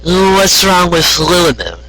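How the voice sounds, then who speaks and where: A man's voice: sits high, big pitch swings, unhurried, bright, forceful tone, no echo, voice pushed up, full character voice, low-quality recording. A man voicing a playful young female unicorn musician with a teasing, easy manner for a story reading. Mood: curious. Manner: teasing, matter-of-fact.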